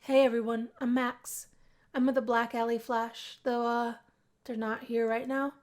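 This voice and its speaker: A woman's voice: fairly high pitch, level tone, a little slow, lightly acted. A woman voicing a laid-back, cocky young male musician in a visual novel, talking in a casual, coaxing way. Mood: showman, upbeat.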